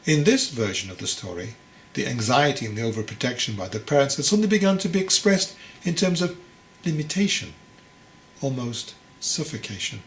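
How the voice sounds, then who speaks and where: A man reading his own book as an audiobook, in a deliberate, phrase-by-phrase narration. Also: medium pitch, lively intonation, echoey room. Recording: clearly noisy recording.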